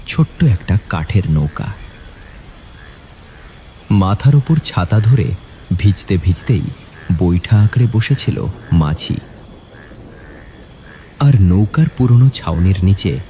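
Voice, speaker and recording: A man's voice: sits low, warm tone. A man narrating a scripted ghost story for a cartoon. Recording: noisy, with rain and thunder behind.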